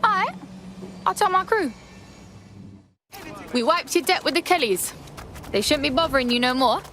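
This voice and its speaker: A woman's voice: high voice, lively intonation, moderate pace, sharp tone, a little hiss or hum. A woman playing a streetwise young hacker with a London accent in a video game, slangy and blunt. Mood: casual, confident.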